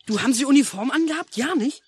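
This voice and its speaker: An actress playing an excitable, cheeky, mocking young boy in a 1950s German radio drama. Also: high voice, fast, dry recording. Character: excitable, cheeky young boy who taunts his sibling. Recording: noisy old mono radio recording.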